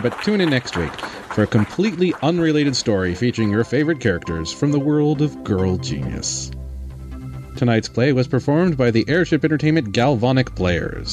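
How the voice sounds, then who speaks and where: A man's voice: medium pitch, close to an everyday voice. A man playing a radio serial announcer, reading a scripted sign-off over music. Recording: music bed behind.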